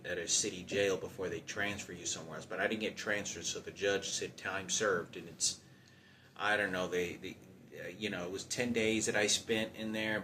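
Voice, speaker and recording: The low-voiced man who tells you his hard-luck story unprompted, stopping and repeating himself, with faint ambient noise around him. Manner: halting, repetitive, circling back over points. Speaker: storyteller recounting personal hardship. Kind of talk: unscripted personal monologue.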